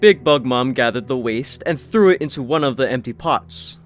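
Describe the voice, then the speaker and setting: A man narrating a scripted fantasy story, expressive, with wry asides. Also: medium pitch, moderate pace. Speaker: audiobook narrator. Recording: faint music behind the voice.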